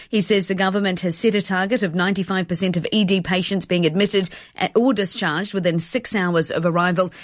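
A woman, giving a brisk regional news report on radio. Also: fairly low pitch, no room sound, clean studio sound.

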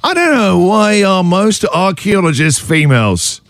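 A man's voice: high-pitched, very animated, measured pace, fairly bright tone, dry recording, clean studio sound. A man hosting a breakfast radio show, bantering and teasing callers in an upbeat, jokey way.

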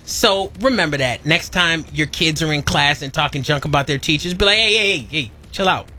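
A man's voice: a little high, a little fast, sharp tone. A man co-hosting a talk show, speaking casually with opinions, in an emphatic, slangy, explanatory way.

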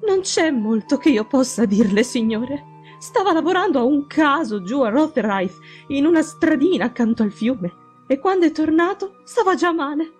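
A woman's voice: high-pitched, lively intonation, normal speed, darkish tone, soft background music, pitched above the performer's own voice. A woman voicing an anxious, deferential housekeeper in an audiobook, urgent and distressed. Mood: worried. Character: anxious, deferential female housekeeper pleading for help.

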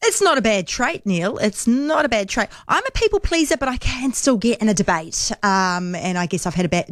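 A woman's voice: mid-range voice, lively intonation, fairly quick, no echo, clean studio sound. A woman with a New Zealand accent hosting talkback radio, chatty and agreeable, laughing easily with callers. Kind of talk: casual radio chat with callers. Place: radio studio.

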